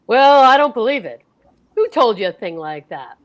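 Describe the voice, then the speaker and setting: A woman's voice: a little high, big pitch swings, normal speed. A woman reading the part of a teasing, wisecracking husband in an amateur old-time radio play. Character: husband George, bantering middle-aged man.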